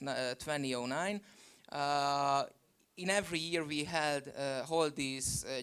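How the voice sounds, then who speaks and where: A man from Hungary walks through a slide presentation, pausing often with fillers between points. His voice sits a little high and comes through smooth and resonant over a video call. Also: a bit bright. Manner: halting, many fillers. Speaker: geopark presenter.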